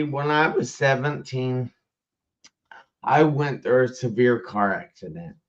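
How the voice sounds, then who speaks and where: A man hosting a casual live-stream interview, speaking in a halting way and repeating himself, over a video call. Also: medium pitch. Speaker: live show host. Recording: dull lo-fi stream audio.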